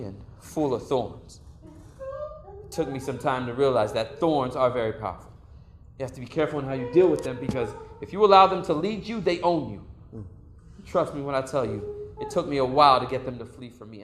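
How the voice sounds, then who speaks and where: A man's voice: medium pitch, a bit bright, a little hiss or hum, lightly acted. A man playing an earnest, searching young man. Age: young adult. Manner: earnest, reflective storytelling. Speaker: lead actor in a stage play. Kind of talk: stage play monologue.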